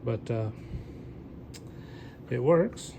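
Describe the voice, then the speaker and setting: A man's voice: mid-range voice, animated, very slow, vocal fry, background noise. A man giving a casual, plainspoken demonstration of used gear he is selling, talking off the cuff.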